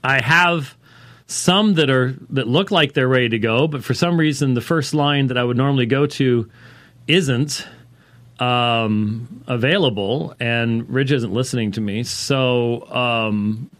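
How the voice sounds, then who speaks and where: A man speaks as the host of a call-in talk podcast at a middle pitch. His delivery is conversational and unscripted, wandering into asides with a wry touch. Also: unhurried, a bit bright. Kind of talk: casual unscripted talk show monologue.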